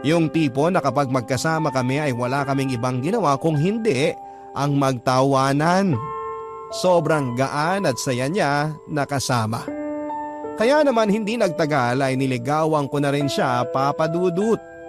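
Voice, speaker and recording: A man tells a first-person love story in a confiding, scripted way. His voice sits a little high, and a clear music bed plays behind him. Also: a little slow. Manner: confiding, addresses the host directly. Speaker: narrator reading a listener's letter.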